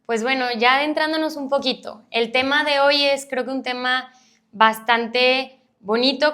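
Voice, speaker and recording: A woman's voice: fairly high pitch, fairly bright tone. A woman, hosting a casual podcast interview. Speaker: podcast host.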